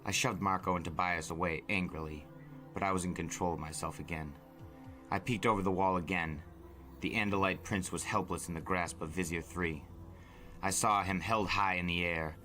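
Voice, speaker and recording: Male dramatic narrator: low, sharp-edged, intense, deliberate audiobook delivery over music. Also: steady tone. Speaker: storyteller, podcast narrator.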